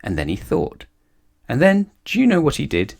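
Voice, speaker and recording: A man narrating a children's storybook aloud in an expressive read-aloud style. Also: mid-range voice, moderate pace, gravelly.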